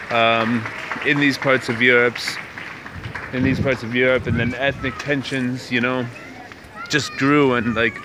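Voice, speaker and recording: Rambling man vlogging on a noisy street. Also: medium pitch. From Canada. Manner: rambling, musing, many fillers and restarts. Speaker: vlog host. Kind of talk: casual walking vlog monologue. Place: outdoors on city streets. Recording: outdoor street noise.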